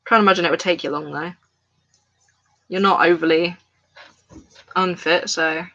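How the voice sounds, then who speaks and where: A woman's voice: lowish voice, fairly even intonation, moderate pace, sharp tone, dull audio. A woman chatting casually on a live stream while doing crafts at home. She rambles and talks straight to the viewers in her chat. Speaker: crafts livestreamer. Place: at home, indoors.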